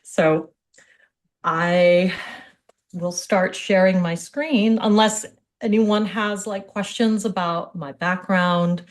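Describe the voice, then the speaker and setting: A middle-aged American woman speaks in a slightly low-pitched voice. She presents as a physical therapist on a video call, keeping things informal, open and conversational. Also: fairly even intonation, takes it slowly. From Hawaii, United States.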